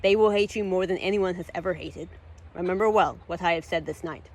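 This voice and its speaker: A cruel, self-possessed male villain of a fantasy storybook, acted out by a woman reading aloud. The voice is hushed and dark, and it taunts slowly and deliberately with theatrical menace. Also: fairly low pitch. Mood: ominous, menacing. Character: sly, cruel male fire-wielding villain, menacing and composed.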